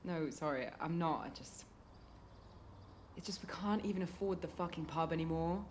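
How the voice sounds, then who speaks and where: A woman's voice: deep voice, moderate pace, a little hiss or hum, lightly acted. A British woman playing a broke, frustrated young writer who is teasing and blunt in a naturalistic radio drama.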